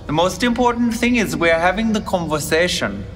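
Guy in his 30s, earnest dreamy spiritual talk, kinda high voice, bit of music under it. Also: animated, moderate pace. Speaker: meditation retreat participant. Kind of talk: scripted comedy sketch.

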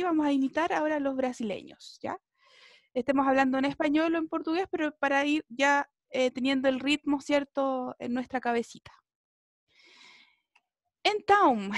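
A woman's voice: sits high, a bit bright, no room sound, clean studio sound. A woman teaching a beginner language class over a video call, encouraging pupils and often checking in with short tag questions. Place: indoor room, online video class.

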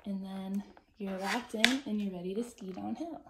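A woman's voice: mid-range voice, moderate pace, background noise. Casual woman walking through ski gear. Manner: friendly, explains step by step while handling gear. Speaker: outdoor gear vlogger. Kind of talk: casual how-to demonstration.

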